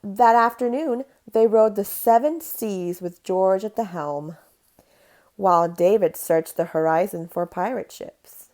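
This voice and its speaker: A woman's voice: medium pitch, a little slow, darkish tone. A woman reading a children's picture book aloud in a storytelling style.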